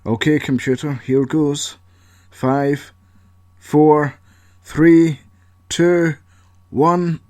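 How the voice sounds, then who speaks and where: A man's voice: fairly high pitch, fairly even intonation, extremely slow, long pauses, darkish tone, no echo, studio quality, pitched above the performer's own voice. A man voicing a bitter, distrustful male outcast in an audio story, grumbling and sarcastic. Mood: excited. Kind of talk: audio story character acting.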